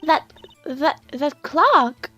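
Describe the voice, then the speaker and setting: A coquettish young woman on the witness stand in a courtroom game. Her voice sits high and she turns stammery and flustered easily. Music plays underneath. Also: animated, drawn-out words, pushed and bright, no echo, exaggerated voice. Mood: insistent. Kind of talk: visual novel game fandub.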